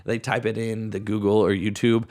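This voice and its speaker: A man with a raspy voice, hoarse from a cold, hosting a podcast at home in a casual, rambling way. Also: on the low side, flat delivery, normal speed, a little mellow. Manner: casual, rambling, thinks aloud with restarts. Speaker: podcast host. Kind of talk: solo podcast talk.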